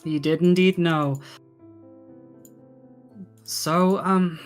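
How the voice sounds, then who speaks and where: A man running a tabletop game casually, with halting, deliberate phrasing. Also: fairly high pitch, steady tone. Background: faint background music.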